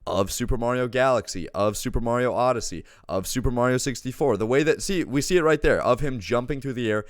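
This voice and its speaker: A man's voice: mid-range voice, fast talker, dry recording, studio quality. A man chatting casually as a film reviewer, enthusiastic and peppering his talk with "dude". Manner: enthusiastic, says dude often. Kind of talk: casual chat movie review.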